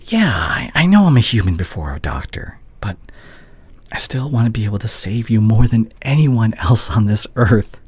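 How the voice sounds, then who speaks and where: A man playing a devoted, regretful doctor and anxious ex-boyfriend in an intimate ASMR audio roleplay, speaking haltingly and tenderly. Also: fairly low pitch, sing-song, darkish tone, slightly rough, no echo, heavily acted. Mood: anguished, confessional.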